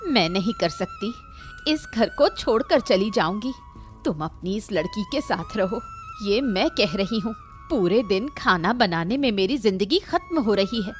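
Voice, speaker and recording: A woman's voice: medium pitch, sing-song, measured pace, faint music behind. A woman voicing an anxious, stubborn, complaining housewife and mother in a Hindi cartoon story. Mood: fed up.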